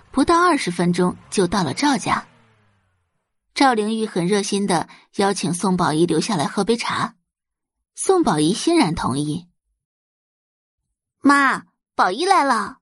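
A woman's voice: medium pitch, breathy edge. A woman narrating a web novel as a dramatized audiobook, telling the story expressively.

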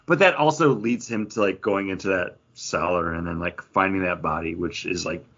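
A man with a lowish, husky voice talks through a book in a casual, informal way, like a podcast co-host. The recording is somewhat dull and lo-fi. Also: moderate pace, fairly bright tone. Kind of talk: casual book discussion chat.

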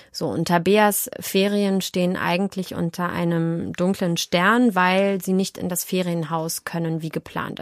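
A woman, an actress and audiobook narrator, telling stories in a lively, animated way during an interview. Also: lowish voice, no room sound, studio quality. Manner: lively, animated storyteller who slips into voices. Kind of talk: relaxed conversational interview.

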